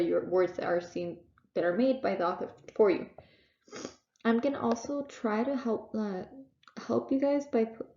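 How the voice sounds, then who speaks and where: A young woman with a Texan accent teaches a reading lesson from home, a little congested and full of ums and restarts. Her voice carries a gravelly edge, and the recording is echoey and dull. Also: mid-range voice, warm tone. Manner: casual, halting, many fillers and restarts. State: congested, sniffling. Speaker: tutor.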